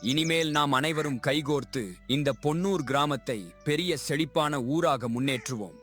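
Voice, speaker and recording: A man's voice: a little high, moderate pace, a bit bright, faint music behind, natural voice. A man playing an honest, humble, forgiving orphan grown up, in a dramatised moral story.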